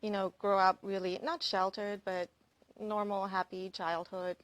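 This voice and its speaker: A woman's voice: mid-range voice, level tone, unhurried. A woman from Germany who is a wellness coach, answering interview questions in a friendly, chatty way with frequent laughter. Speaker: guest, wellness coach and podcaster.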